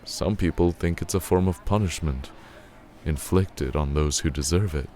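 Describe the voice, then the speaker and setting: An actor playing a reserved, polite, awkward male cafe regular in an audio drama, speaking haltingly. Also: rumbling low, warm tone. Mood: hesitant, guarded. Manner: hesitant, halting. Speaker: coffee shop regular.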